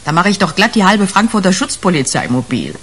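An actress playing a brash, shrewd police detective in a radio crime drama, slangy and emphatic. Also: female voice, lowish voice, big pitch swings, fairly quick, fairly bright tone, a little hiss or hum. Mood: emphatic, sardonic.

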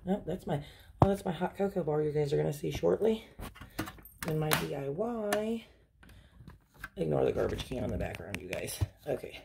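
A woman's voice: low-pitched, very animated, moderate pace, pauses often, noisy background. A woman in her thirties chatting casually as she cooks at home, rambling with lots of ums and little apologies, recorded on a camera angled down at her stove in a home kitchen. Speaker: home cooking vlogger. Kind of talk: casual cook-along chat. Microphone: fixed camera angled down at the stove. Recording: clear frying sizzle in a home kitchen. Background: frying sizzle and stirring.

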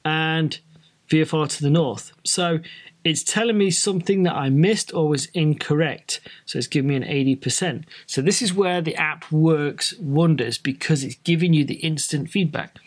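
A man with a British accent presents a casual tutorial for trainee pilots. His voice sits a little higher than usual for a man. He explains things informally, step by step. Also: normal speed. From Britain.

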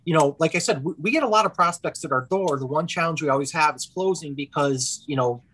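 A man in his forties giving brisk, earnest testimony over a video call, with frequent you knows. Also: fairly high pitch, fairly bright tone. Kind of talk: hearing testimony.

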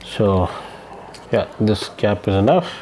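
A young man giving casual step-by-step how-to instructions, explaining things haltingly with pauses as he works. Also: lowish voice, poor audio. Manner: halting, explanatory, often pausing mid-sentence while working. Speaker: vlogger giving a how-to demonstration. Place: indoors at home, seated on the floor. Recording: steady ambient room noise.

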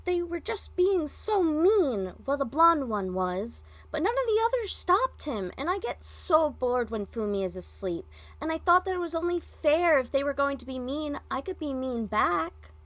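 Woman putting on a high, sulky little shadow creature. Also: big pitch swings, moderate pace, few pauses, no echo. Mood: sulky, whiny. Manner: whiny, self-justifying.

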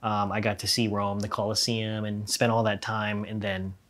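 A young man hosting an interview, relaxed and curious, asking follow-up questions and laughing along, recorded with a lapel mic in a small studio room. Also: lowish voice, normal speed. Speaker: podcast host, former cruise ship crew. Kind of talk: casual interview chat.

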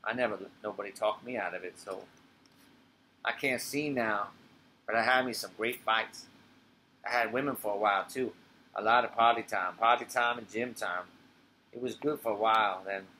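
Stage play: aged, blind former fighter, voiced by a man, low-toned, wistful, clipped street talk. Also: moderate pace, bright, forceful tone, slight background noise, low-quality recording. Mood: wistful, coaxing.

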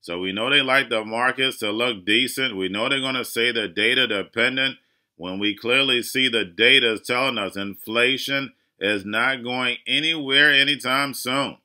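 A man's voice: mid-range voice, moderate pace, bright, forceful tone. A man hosting his own crypto channel, talking urgently and preachily, addressing viewers as "guys" and repeating warnings. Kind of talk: monologue market commentary.

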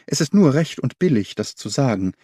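A man voicing an earnest young governess in an audiobook, telling her account in a measured, articulate way. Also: medium pitch, sing-song, warm tone, no room sound, studio quality. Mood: earnest, uneasy. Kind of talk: scripted audiobook character reading.

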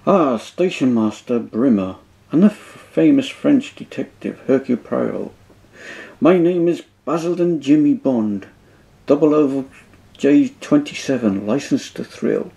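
A man's voice: medium pitch, expressive, measured pace, gravelly, mellow. A man voicing a smug male spoof secret agent who introduces himself with style, in a told story.

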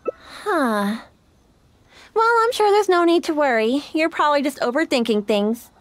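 A formal young shrine maiden. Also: female voice, high-pitched, lively intonation, dry recording. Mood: composed. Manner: formal, courteous, measured. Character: polite young shrine maiden, composed and dutiful. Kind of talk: game voice acting.

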